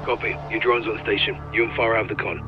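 A man playing a brisk, alert soldier reporting over comms in a video game cutscene. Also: lowish voice, level tone, heavy background noise, close to an everyday voice. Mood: tense. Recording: dull radio sound, music behind.

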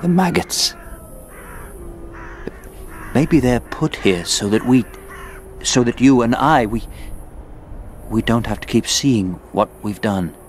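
An actor playing a guilt-ridden knight in an audio drama, muttering haltingly to the battlefield dead. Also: male voice, mid-range voice, expressive. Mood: bleak, brooding. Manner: halting, muttering, talking to unseen listeners. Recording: noisy outdoor soundscape with crows.

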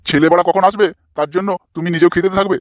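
A man's voice: high voice, fairly even intonation, rapid-fire, no room sound, clean studio sound, lightly acted. A man voicing a crude, bossy, lecherous old father-in-law in a comic cartoon, foul-mouthed and blustering.

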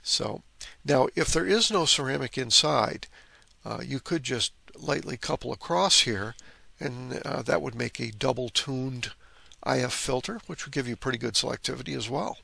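A man giving a casual, colloquial technical explanation as host of a repair video, talking haltingly. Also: medium pitch, creaky, pushed and bright, husky, no echo.

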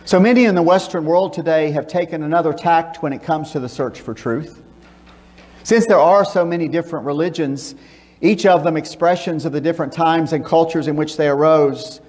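An older man preaching from a church pulpit, reading scripture and praying in a measured, emphatic way. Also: fairly high pitch, a little mellow. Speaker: pastor. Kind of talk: sermon with scripture reading and prayer. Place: church sanctuary, speaking from a pulpit. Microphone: pulpit microphone, filmed by a far camera. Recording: long church reverb.

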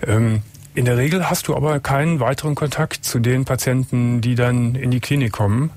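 A middle-aged man hosting a late-night radio call-in show from a studio, asking calm, probing questions and murmuring agreement. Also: mid-range voice, fairly quick. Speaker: radio talk show host. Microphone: studio mic with headphones.

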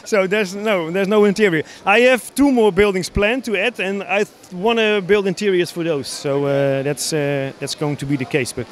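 A man in his thirties from the Netherlands with a Dutch accent, explaining his hobby builds in a casual, halting interview. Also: sits high, steady tone, slight background noise. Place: large busy exhibition hall, indoors. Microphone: handheld interview microphone.

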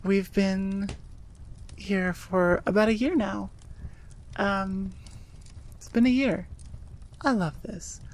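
A woman's voice: medium pitch, slow, pauses often, darkish tone. A woman audio creator talking casually and personally to her listeners, in an earnest, rambling way.

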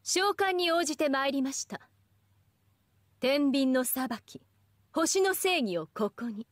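High-pitched haughty goddess. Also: female voice, lively intonation, takes it slowly, no echo. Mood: solemn, proud. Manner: pompous, ornate ladylike speech. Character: haughty, elegant young scales goddess, proud and competitive. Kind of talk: anime game voice acting.

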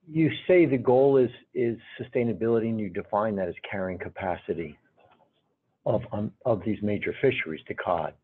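Low, husky man on phone-like audio. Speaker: audience member asking questions. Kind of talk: question at a talk. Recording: muffled, narrow phone-like sound.

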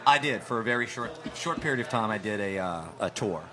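A man in his forties, a drummer guest on a live podcast, answering in a halting, hesitant way. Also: on the low side, lively intonation. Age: 40s. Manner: hesitant, halting, many restarts. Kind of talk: casual interview. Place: busy restaurant. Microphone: broadcast mic on stand. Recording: crowd chatter behind. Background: restaurant crowd chatter.

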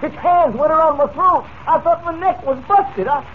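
High-voiced, frightened cowboy henchman. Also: normal speed. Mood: terrified. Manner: stammering, folksy rural speech. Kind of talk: 1940s radio serial acting. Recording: tinny, noisy 1940s radio broadcast.

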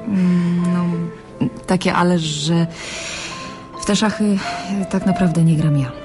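Young radio-drama heroine, chatty, smitten, hesitant, word-repeating, music underneath. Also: female voice, lowish voice, takes it slowly. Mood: coy, hesitant. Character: chatty, infatuated young female chess champion. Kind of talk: Polish radio drama acting.

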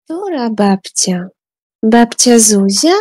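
Slow, mellow woman playing a curious child. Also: a little high, expressive. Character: curious young child questioning mother. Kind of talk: amateur school audio drama.

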